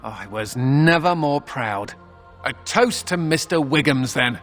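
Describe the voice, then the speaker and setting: An actor playing a wry, flippant runaway mage in a video game, with a sardonic, conversational manner. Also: male voice, medium pitch, animated, takes it slowly, a bit bright, faint music behind. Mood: wry, joking. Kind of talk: video game character acting.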